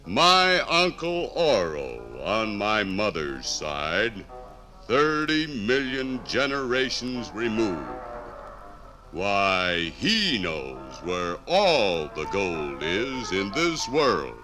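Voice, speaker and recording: An actor playing ancient, self-important iron ore come to life, orating pompously in a 1950s radio drama. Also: male voice, fairly low pitch, sing-song, drawn-out words, over music, full character voice. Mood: aggrieved, grumbling.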